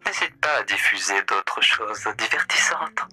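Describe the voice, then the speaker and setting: A man's voice: fairly low pitch, animated, unhurried, bright, forceful tone, exaggerated voice, rough recording. A man voicing a suave, theatrical male radio demon who talks in a courteous, mocking way during a voice-chat roleplay. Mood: sly.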